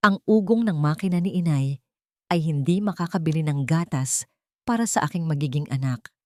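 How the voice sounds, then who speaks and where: A woman with a Filipino accent narrating a scripted first-person drama in measured, deliberate phrases. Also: low-pitched, no room sound, clean studio sound. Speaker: story narrator.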